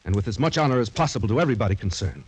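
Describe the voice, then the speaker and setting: An actor playing a proud, honourable young gentleman with courtly, formal speech in a 1940s radio drama. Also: fairly low pitch, animated, very fast, loud music behind the voice, close to an everyday voice. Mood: wounded pride. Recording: noisy vintage 1940s radio recording, clicks.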